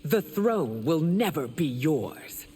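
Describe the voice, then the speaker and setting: A woman playing a regal, stern empress in a video game, speaking formally and with measured command. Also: deep voice, very animated, dark tone, faint music behind, full character voice. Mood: defiant, commanding. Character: regal, stern warrior empress, compassionate but wrathful. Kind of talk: dramatic video game acting. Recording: long reverb, faint background noise.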